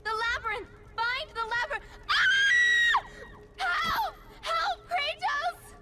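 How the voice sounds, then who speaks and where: A woman's voice: very high-pitched, sing-song, slow pace, shouting, very noisy, heavily acted. A woman voicing a frightened, trusting young girl in a video game, earnest and urgent. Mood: terrified. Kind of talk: dramatic video game voice acting.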